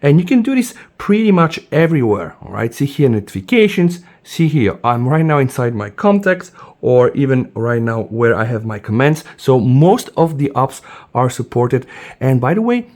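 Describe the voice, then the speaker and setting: Animated man. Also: moderate pace. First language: Bulgarian. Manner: enthusiastic, addresses viewers as "guys", says "boom" often. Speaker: tech reviewer. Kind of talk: casual product demo.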